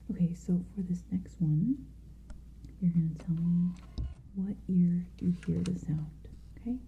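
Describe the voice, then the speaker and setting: A woman speaks in a soft, breathy whisper, very dark and relaxed in tone. She takes her time, giving gentle, reassuring instructions as an ASMR examiner. A faint noise sits behind her close-miked voice. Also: fairly low pitch, measured pace, highs cut off. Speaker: ASMR creator playing a hearing examiner. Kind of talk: whispered ASMR medical roleplay.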